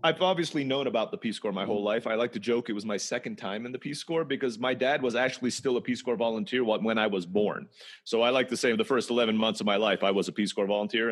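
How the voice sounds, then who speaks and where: A man who grew up in Turkey, telling his life story in a relaxed, conversational way, sometimes restarting and repeating words. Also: on the low side. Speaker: interview guest. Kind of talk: storytelling personal history.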